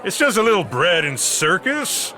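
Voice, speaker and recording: An actor playing a boisterous, folksy, glad-handing mayor who talks mockingly in an audio drama. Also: male voice, high-pitched, very animated, normal speed, bright, forceful tone, a faint crowd, exaggerated voice. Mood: breezy.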